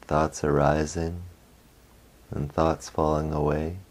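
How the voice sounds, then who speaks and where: A man leading a guided meditation as a guide, speaking gently in short phrases with long pauses. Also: extremely low pitch, little pitch movement.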